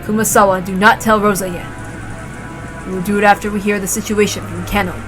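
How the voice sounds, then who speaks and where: A woman's voice: mid-range voice, little pitch movement, fast, over music, close to an everyday voice. A woman voicing a composed, authoritative older male head servant in a dramatic story reading. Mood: composed, commanding.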